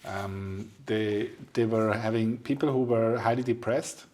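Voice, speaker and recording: A man's voice: fairly low pitch, steady tone, unhurried, a little mellow, slight background noise. A man in his forties hosting a casual interview, searching for words with non-native phrasing. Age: 40s. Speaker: podcast host.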